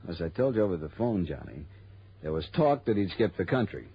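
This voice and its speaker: Businesslike male insurance man, husky, emphatic, suspicious, dull vintage radio recording. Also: low-pitched, sing-song, a little fast, exaggerated voice. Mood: suspicious, explaining. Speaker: supporting actor. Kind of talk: 1960s radio drama acting.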